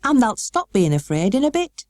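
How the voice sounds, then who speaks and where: A woman's voice: on the high side, big pitch swings, moderate pace, darkish tone, no echo, studio quality, pitched above the performer's own voice. A woman voicing a kindly, stout-hearted Yorkshire country boy in an audiobook, speaking rustic dialect in a hearty, reassuring way. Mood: cheerful. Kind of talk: audiobook character acting.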